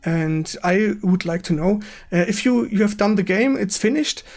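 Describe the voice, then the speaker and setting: A man with a German accent hosting a casual live-stream interview in halting non-native English, searching for words. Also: high-pitched.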